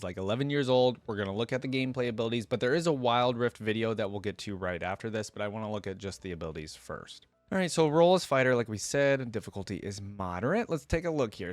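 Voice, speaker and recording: A man's voice: medium pitch, no echo. Casual man reacting, dry close sound. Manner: easily impressed, thinking out loud. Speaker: host of a game reaction channel. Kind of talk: casual reaction commentary, reading ability descriptions aloud.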